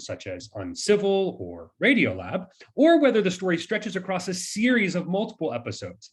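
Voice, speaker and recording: A man giving a scripted academic presentation, explaining in formal terms with brief asides. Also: mid-range voice.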